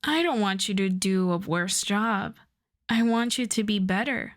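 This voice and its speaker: An infamous supervillain, acted by a woman speaks at a slow, unhurried pace in a mid-range female voice. She is wry and flirtatious, coaxing and teasing in an intimate, conversational way suited to an ASMR roleplay drama. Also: mid-range voice, natural voice. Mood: pointed, coaxing.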